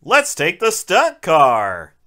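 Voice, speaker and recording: Bright, animated wisecracking man. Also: on the high side, slow. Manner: wisecracking, crude, sarcastic. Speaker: podcast host reviewing a film. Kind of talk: sarcastic comedic film recap commentary.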